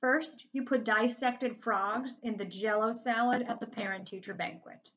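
A woman voicing a gloating, smug male school principal with a mocking, drawn-out delivery, in a storybook reading. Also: on the high side, fairly even intonation, fairly bright tone, highs cut off, natural voice.

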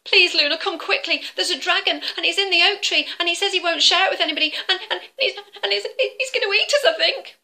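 A woman voicing a nervous male squirrel who stammers and rushes his words in a high voice. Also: pushed and bright, heavily acted.

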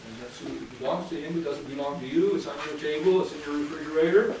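Echoey, halting male preacher, far from mic. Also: fairly high pitch, a little mellow. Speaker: priest. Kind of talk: sermon. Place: indoors at a church pulpit or lectern, reverberant. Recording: distant mic in echoey room, clear background noise.

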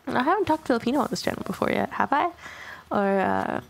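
A woman's voice: on the high side, sing-song, quick pace, creaky, faint music behind. A woman whose first language is Tagalog, rambling through casual commentary over a video game, with small self-conscious laughs. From Canada. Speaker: gaming YouTuber.

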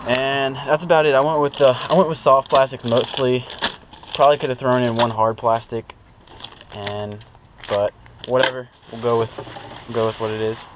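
Young male fishing vlogger, casual slangy delivery, medium pitch, noticeable outdoor noise on an action camera. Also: little pitch movement, normal speed. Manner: informal, slangy. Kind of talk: casual first-person vlog chat. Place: outdoors, at a car trunk and on a small pond boat. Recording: clearly noisy outdoor action-camera audio.

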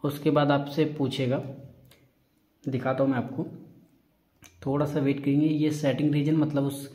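Man, informal tutorial presenter, mellow dark tone, reassuring, repetitive, echoey room recording. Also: on the high side, flat delivery, moderate pace. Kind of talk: informal step-by-step phone tutorial. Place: indoor room.

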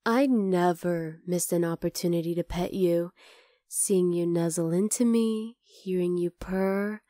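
Casting: actress as young wolf-girl roommate for ASMR roleplay, fond teasing with tender exasperation, unhurried delivery, close dry mic. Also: fairly low pitch, mellow, no room sound, close to an everyday voice. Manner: casual, exasperated but tender, sighing. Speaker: voice actor in audio roleplay. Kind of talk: ASMR roleplay, acted one-sided dialogue.

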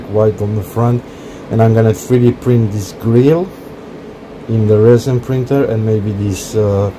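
A man casually walking through his home-built cockpit project, talking haltingly with many fillers. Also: lowish voice, background noise. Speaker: hobbyist cockpit builder. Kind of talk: casual build walkthrough. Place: workshop.

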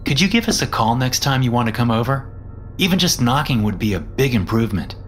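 A man's voice: mid-range voice, very animated, a little fast, fairly bright tone, pitched above the performer's own voice. A man voicing a young male college tenant, polite and uneasy, in a told story with music behind. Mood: polite irritation. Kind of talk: storytelling dialogue.

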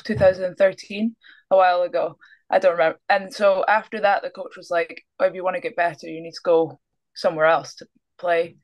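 A woman's voice: fairly low pitch, poor audio. Scottish woman, young adult, laid-back interview guest over video call. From Scotland. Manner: easygoing, laughs often, trails off. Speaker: guest basketball player. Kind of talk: casual interview. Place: small room indoors.

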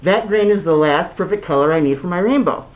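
A tricky little leprechaun man from a children's storybook, played by a woman. He coaxes and pleads in a quaint, theatrical way. His pitch swings freely up and down. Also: lively intonation, fairly quick, close to an everyday voice, poor audio. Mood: pleading.

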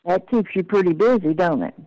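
A woman's voice: fairly low pitch, a little fast, mellow, no room sound, poor audio. An older woman talking on the telephone in a plain-spoken, admonishing manner. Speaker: prank call recipient. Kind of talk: phone conversation.

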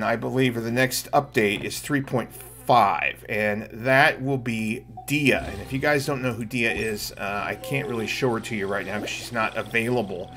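A man's voice: mid-range voice, a bit bright. A middle-aged man giving casual live commentary as he plays a game. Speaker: game streamer. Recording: game music behind the voice. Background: game music.

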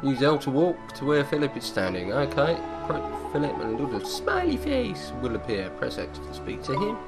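A man's voice: medium pitch, wildly swooping pitch, moderate pace. A man giving casual, jokey commentary as he plays a video game. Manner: jokey, chuckling asides. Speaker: let's-play host. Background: game music.

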